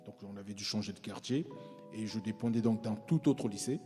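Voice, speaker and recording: Low, mellow-voiced man telling his story. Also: brisk, big-room sound, soft background music. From France, parents from Congo-Brazzaville. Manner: hesitant, many fillers and restarts. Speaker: guest. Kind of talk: personal storytelling in conversation.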